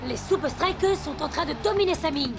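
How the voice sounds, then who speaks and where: A woman playing an excited football co-commentator in a cartoon, delivering sports commentary. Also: very high-pitched, fairly quick, over music. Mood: excited, amazed.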